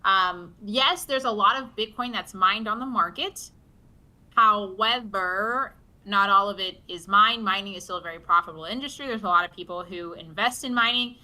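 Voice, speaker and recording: A woman's voice: mid-range voice, moderate pace, pushed and bright, rough recording. A woman co-hosting a casual crypto livestream, talking loosely with fillers like "like" and "um".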